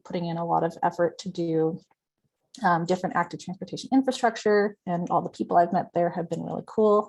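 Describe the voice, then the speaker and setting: Measured woman explaining street projects. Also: on the low side, a little mellow. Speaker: advocacy group member. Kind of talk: casual online meeting update.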